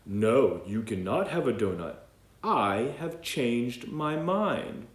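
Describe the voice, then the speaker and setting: A man voicing a prim, stubborn male panda, speaking slowly and emphatically. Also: medium pitch, very animated. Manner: slow, emphatic. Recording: echoey room, faint ambience.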